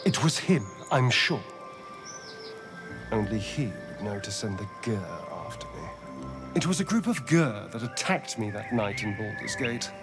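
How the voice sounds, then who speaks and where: An actor playing a sardonic, haunted vampire spawn in a video game, with an arch, dramatic, drawling delivery. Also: male voice, lowish voice, expressive, a little slow. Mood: bitter, uneasy. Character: flamboyant, sardonic male vampire spawn, haunted by his master. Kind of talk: theatrical video game voice acting. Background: background music.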